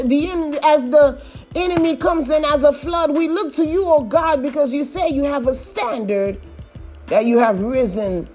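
Woman leading intercessory prayer, slow, high, pleading, soft music underneath. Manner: pleading, repetitive invocations, rising to shouts. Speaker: prayer leader.

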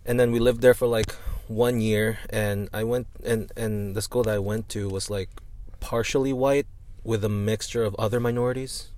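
A man's voice: on the low side, fairly even intonation, moderate pace, darkish tone. A young man with a Filipino accent, a criminology student chatting casually, rambling with lots of fillers and restarts. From Philippines. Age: young adult. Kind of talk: casual chat.

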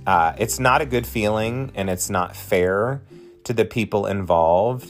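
A man in his late forties with a lowish voice, chatting warmly and effusively to his podcast listeners. He keeps it casual and encouraging, and soft guitar music plays faintly behind him. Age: 40s. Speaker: podcast host and drag performer.

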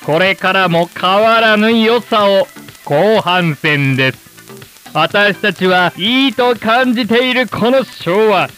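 An actor playing a formal, polished old-time television presenter addressing the nation in a radio drama. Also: male voice, high-pitched, expressive, takes it slowly, pushed and bright, soft background music, full character voice. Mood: showy hosting. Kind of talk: broadcast announcing in radio drama.

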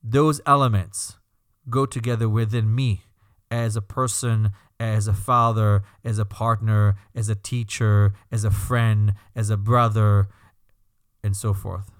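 Rabbi with a slight Belgian accent. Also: male voice, on the low side, unhurried, no room sound, studio quality. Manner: calm, teaching tone with rhetorical lists. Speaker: podcast host and rabbi. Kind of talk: reflective podcast monologue.

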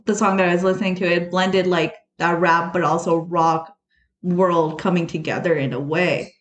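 A woman's voice: lowish voice, steady tone, moderate pace, echoey room, a little hiss or hum. A woman hosting a podcast, asking a guest questions in a conversational way with filler words. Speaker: podcast host. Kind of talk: interviewing a guest.

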